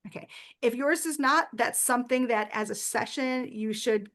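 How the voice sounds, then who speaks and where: A woman's voice: a little high, normal speed, fairly bright tone. A woman presenting a workshop, telling a personal story earnestly with frequent fillers. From United States.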